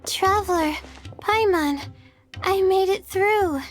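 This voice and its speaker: Gentle teen girl for a game, very high, unhurried, friendly and a bit hesitant. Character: gentle, modest, friendly teenage girl competitor. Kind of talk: animated video game voice acting. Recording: music behind the voice.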